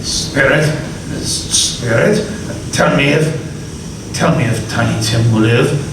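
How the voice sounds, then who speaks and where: An anguished old miser, played by a man, begs in slow, faltering words. The voice carries far across an echoing live stage. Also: medium pitch, noisy background, natural voice. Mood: pleading. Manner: faltering, begging. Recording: echoey live stage from afar.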